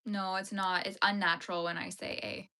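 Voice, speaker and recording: A woman's voice: medium pitch, level tone, moderate pace, bright, forceful tone. A young woman, chatting playfully with her livestream viewers while she crochets. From Canada. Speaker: livestream host.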